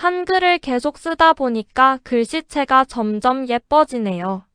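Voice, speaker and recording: A woman with a high, bright-pitched voice reads model sentences in an even, deliberate way. Her tone is exceptionally clear and clean, and the sound is dry and close, with no room around it. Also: no echo. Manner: careful, even, deliberate delivery. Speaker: example sentence reader. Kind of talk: slow, clear model-sentence reading for shadowing.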